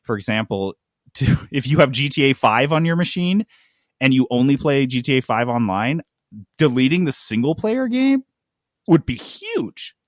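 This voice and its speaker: A man hosting a casual gaming news discussion, talking in an informal, slangy and animated way. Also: medium pitch, moderate pace, no echo, studio quality. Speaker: podcast host.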